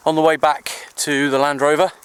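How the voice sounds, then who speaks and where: A man with a clear, fairly light-pitched voice talks to his handheld camera outdoors in the countryside. He is easygoing and chatty, rambling along like a hobbyist making a casual vlog. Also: flat delivery, moderate pace, a bit bright. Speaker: amateur CB radio hobbyist vlogger.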